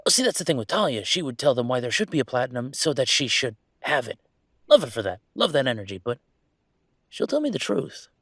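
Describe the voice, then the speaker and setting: Casting: young male werewolf played by a man for boyfriend roleplay audio; gravelly fry, close dry sound, halting, affectionate, conversational delivery. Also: medium pitch, sing-song, a bit bright, dry recording, exaggerated voice. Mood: pensive, wry. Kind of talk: intimate audio roleplay acting, one-sided conversation.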